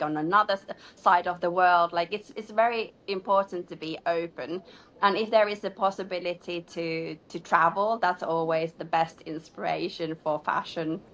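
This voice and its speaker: Chatty woman, lower voice. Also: fairly bright tone. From Finland. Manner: chatty, many "like" fillers, laughs between phrases. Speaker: shoe designer, guest. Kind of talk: casual interview answers.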